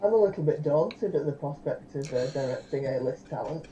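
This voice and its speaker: A woman's voice: deep voice, expressive, fairly quick, dark tone. A woman chatting casually as a participant in an online game, over a video call. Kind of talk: casual chat. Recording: dull, lo-fi call audio, faint noise.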